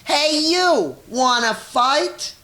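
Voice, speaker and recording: A grumpy little lady bug from a children's storybook, put on by a woman reader. She is high-pitched and loud, taunting everyone in a bossy, dismissive way and spoiling for a fight. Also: lively intonation, very slow, exaggerated voice. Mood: pugnacious. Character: grouchy, pugnacious little female ladybug picking fights. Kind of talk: children's storybook read-aloud.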